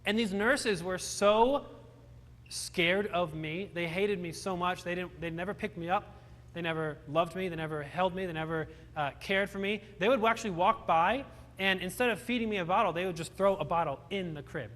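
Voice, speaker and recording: A man's voice: high voice, normal speed, fairly bright tone, long reverb tail. A young man born in Romania, telling his life story with self-deprecating humor to a live audience, often restarting his sentences. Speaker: motivational speaker. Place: large hall with audience.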